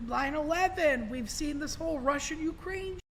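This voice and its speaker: High-voiced man rambling emphatically. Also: normal speed, background noise. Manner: rambling, emphatic, swears freely. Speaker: podcast guest. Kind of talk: casual podcast talk.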